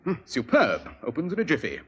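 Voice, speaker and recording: An actor playing a shrewd, commanding male detective in 1940s radio drama style, with a crisp, authoritative delivery. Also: mid-range voice, huge pitch swings, brisk, highs cut off. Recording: old radio broadcast with faint hiss.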